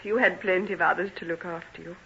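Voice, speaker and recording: An actor playing an earnest, wistful colleague who is hopelessly in love, in an old-time radio drama, self-deprecating in manner. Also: male voice, high voice, fairly even intonation, quick pace, gravelly, bright, forceful tone, slight background noise, exaggerated voice. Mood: wistful, hurt. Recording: dull vintage radio recording.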